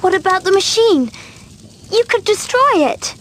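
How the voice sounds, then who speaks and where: A woman's voice: very high-pitched, expressive, unhurried, a bit bright, a little hiss or hum, full character voice. A woman voicing a naive, headstrong young princess, emphatic and indignant, in a video game cutscene. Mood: pleading.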